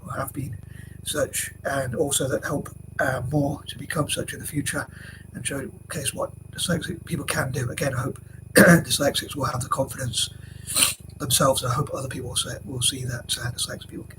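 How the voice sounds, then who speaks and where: Man with a stuffy cold, monotone delivery, hesitant fillers, noisy recording. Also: mid-range voice, normal speed. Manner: rambling with many fillers and restarts. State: has a cold. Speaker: vlogger. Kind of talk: casual talk to camera. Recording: noisy background hiss.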